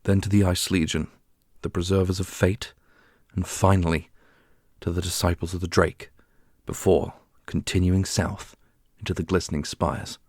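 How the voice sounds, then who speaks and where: Man acting a low, husky, stern fantasy ruler. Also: fairly even intonation, a little mellow, no echo, clean studio sound. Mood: commanding. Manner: measured, formal, authoritative. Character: commanding male fantasy ruler, stern, loyal to his people. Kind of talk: ASMR fantasy roleplay.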